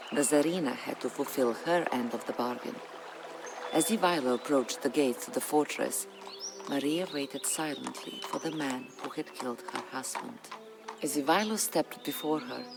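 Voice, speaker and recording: A solemn chronicler for a historical strategy game. The delivery is dramatic scripted narration, grave and measured, at a middle pitch. Music plays clearly beneath the voice. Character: solemn storyteller chronicling a medieval Bulgarian uprising. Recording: music under the voice. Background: background music.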